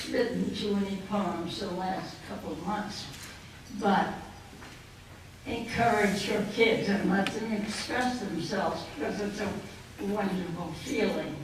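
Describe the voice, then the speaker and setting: A woman, addressing an audience with personal stories in short, deliberate phrases. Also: medium pitch. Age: early 90s. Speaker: poet and guest speaker. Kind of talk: personal anecdotal speech to an audience. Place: indoor conference banquet room. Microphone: microphone, sounding distant. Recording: very echoey hall, distant, faint noise.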